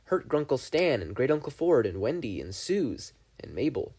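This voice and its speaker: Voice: mid-range voice, darkish tone. A reader narrating a fan fiction audiobook in a subdued, somber storytelling manner. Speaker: podfic reader.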